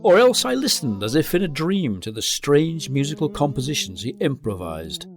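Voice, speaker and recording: Animated gothic gentleman narrator. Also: fairly high pitch, moderate pace, music in the background. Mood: grave, resolute. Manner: formal, literary, dramatic. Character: grave, dread-filled gentleman narrator of gothic tale.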